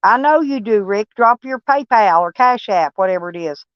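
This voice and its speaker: Live-stream host: a blunt, folksy Texas-accented woman, smooth voice, dull lo-fi audio. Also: mid-range voice, animated, normal speed, no room sound. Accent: Texan. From Kentucky, USA. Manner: rambling, folksy, blunt and swearing. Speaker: livestream host. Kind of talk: casual live-stream chat with viewers.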